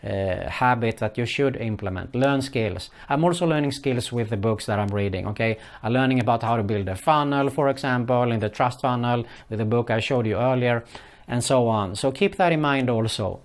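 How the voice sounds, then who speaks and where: A man's voice: medium pitch, moderate pace. A middle-aged man from Sweden, speaking English as a later language and giving earnest, casual advice with many fillers. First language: Swedish. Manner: earnest, repeats "for example" and "keep that in mind", frequent "uh" fillers. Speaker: online business vlogger. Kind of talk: casual advice talk to camera.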